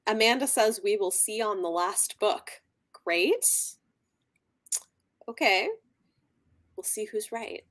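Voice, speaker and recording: A woman in an informal book chat, thinking out loud and offering theories in a speculative way. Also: mid-range voice, takes it slowly, long pauses. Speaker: book discussion co-host. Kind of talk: casual book-club chat.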